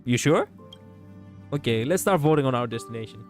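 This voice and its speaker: A man with a raspy voice streaming a game, chatting casually in a rambling way, laughing often and swearing. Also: mid-range voice, lively intonation, brisk, music in the background. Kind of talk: casual gaming stream chat.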